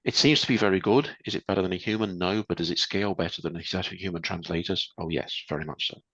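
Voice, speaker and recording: A man with a very low voice explains things patiently, often restarting his sentences. The sound is dull and lo-fi, as on a video call, and the room is dry. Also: fairly bright tone, breathy edge, dry recording. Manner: measured, explanatory, with frequent restarts. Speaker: guest presenter. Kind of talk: webinar Q&A answers.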